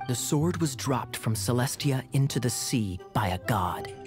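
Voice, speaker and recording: An actor playing a suave young knight in an anime game, with a smooth, theatrical, tongue-in-cheek manner. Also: male voice, medium pitch, lively intonation, unhurried, lightly acted. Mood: storytelling. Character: suave, teasing young male knight with an eye patch. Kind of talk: anime video game dialogue. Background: game music.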